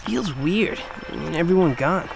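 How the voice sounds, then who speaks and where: An actor in a radio drama playing a plain-spoken young friend, casual and full of blunt questions. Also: male voice, a little high, lively intonation, normal speed, vocal fry, music in the background. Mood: curious, relaxed.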